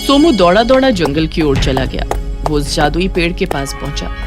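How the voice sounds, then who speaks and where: A woman's voice: low-pitched, big pitch swings, moderate pace. Female story narrator over faint music. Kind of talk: scripted children's story narration.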